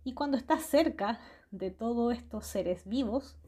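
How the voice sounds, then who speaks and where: A woman's voice: on the high side, animated, unhurried, warm tone, husky. A Chilean woman hosting a radio program, talking in a cheerful, spiritual monologue that she fills with tag questions and laughter. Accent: Chilean Spanish. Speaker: radio host. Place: small house in a hillside forest.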